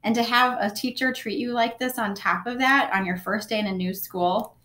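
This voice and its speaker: A woman in her thirties, a school librarian, reading a book passage aloud to students with lively, expressive delivery, recorded on a desk webcam. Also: fairly high pitch, normal speed, roomy sound. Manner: expressive, animated storytelling with gestures. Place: school library office with bookshelves, indoors.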